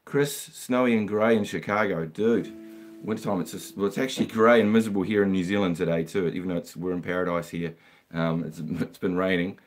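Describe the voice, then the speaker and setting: A middle-aged man with a slightly croaky voice, hosting a casual live guitar stream and chatting with viewers as he reads out their comments. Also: sits low, steady tone, fairly quick.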